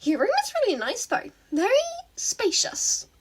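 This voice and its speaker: A woman voicing a bubbly, wistful teenage girl villain in a girlish, excitable way for a fan fiction reading. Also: high voice, normal speed, voice pushed up. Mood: playful.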